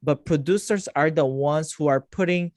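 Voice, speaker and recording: A man's voice: fairly high pitch, moderate pace, a little mellow, dry recording, clean studio sound. A man hosting an online music production masterclass, explaining at length with frequent "like" and restarts. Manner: explains with many likes and restarts. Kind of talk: teaching over a video call. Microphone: video call.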